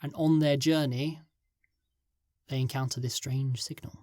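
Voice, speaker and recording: Male podcast guest, mid-pitch, halting and filler-heavy, dry close sound. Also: fairly even intonation, a little mellow, no room sound. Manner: hesitant, filler-heavy, trails off mid-thought. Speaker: guest script writer. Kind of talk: casual podcast interview.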